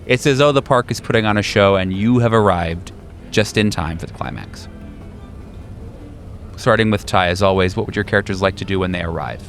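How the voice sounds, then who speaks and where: A man's voice: fairly low pitch, fairly quick, soft background music. A man acting as game master, describing scenes and guiding players in a tabletop roleplaying game.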